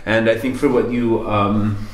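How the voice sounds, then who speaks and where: A man's voice: on the low side, level tone, unhurried, echoey room, a little hiss or hum, rough recording. A man interviewing a business owner in casual conversation, rambling and hesitant, with many fillers and restarts.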